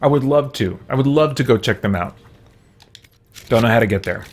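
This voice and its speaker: A man's voice: medium pitch, a little fast, soft background music. Man rambling casually through a game livestream. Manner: rambling, hesitant thinking aloud. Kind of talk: casual gameplay commentary with live chat.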